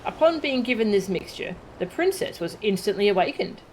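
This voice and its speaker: A woman voicing an old male storyteller who loves telling tales, read aloud from game dialogue in a steady narrating tone. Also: medium pitch, a little fast, slight background noise, natural voice, rough recording. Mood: storytelling.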